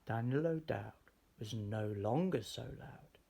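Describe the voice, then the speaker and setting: A man reads a rhyming children's storybook with theatrical flair. His voice is gravelly and a little rough, and he takes his time while his pitch rises and falls in a lively way. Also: slow, a little hiss or hum. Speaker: storybook reader. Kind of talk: expressive read-aloud of rhyming children's story.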